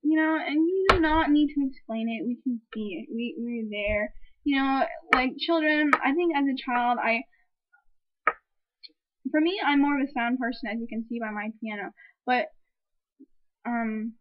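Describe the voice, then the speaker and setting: Young woman, high voice, exasperated swearing rant, dull lo-fi recording. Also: measured pace, pauses often, background noise. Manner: exasperated, swears a lot, rambling restarts. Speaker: film reviewer. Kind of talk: ranting casual movie review. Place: room at home with a piano.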